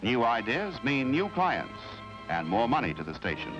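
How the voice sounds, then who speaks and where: Lively 1950s American male documentary narrator. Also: mid-range voice, poor audio. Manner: formal, measured, explanatory delivery. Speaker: narrator of a training film. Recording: vintage 1950s film soundtrack with music.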